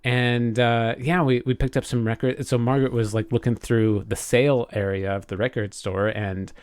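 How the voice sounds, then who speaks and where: Man, podcast co-host, casual storytelling, enthusiastic, halting restarts, mid pitch, dry recording. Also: little pitch movement, darkish tone, clean tone, no room sound. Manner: halting, repeats words and rephrases often. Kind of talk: casual chat, telling a personal anecdote.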